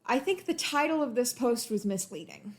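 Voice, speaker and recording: A woman's voice: fairly high pitch, animated, normal speed. A woman guesting on a casual podcast, talking emphatically and laughing easily.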